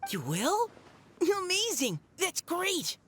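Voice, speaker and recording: A woman's voice: extremely high pitch, wildly swooping pitch, takes it slowly, pushed and bright, slight background noise, exaggerated voice. An actress playing a kind, earnest young girl adventurer in a fantasy game, sincere and eager with a slightly bashful manner. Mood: delighted. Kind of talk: scripted game dialogue.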